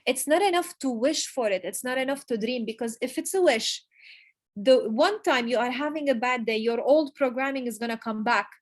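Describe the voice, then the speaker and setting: A woman giving an emphatic motivational talk to her business team, repeating key phrases and pausing for effect. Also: high-pitched. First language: Arabic. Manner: emphatic, repetitive, pauses for emphasis. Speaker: network marketing leader giving a motivational talk. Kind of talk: motivational speech.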